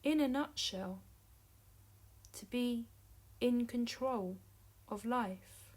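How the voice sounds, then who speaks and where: Very slow-paced woman guiding meditation. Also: on the high side, darkish tone. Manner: measured, short phrases with long pauses. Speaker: meditation teacher. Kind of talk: guided meditation narration.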